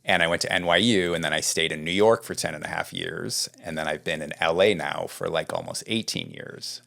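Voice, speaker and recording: A middle-aged man from California, a writing coach being interviewed, talking in a relaxed, rambling way. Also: low voice, brisk, creaky. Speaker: guest, script doctor and writing coach. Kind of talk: casual interview talk.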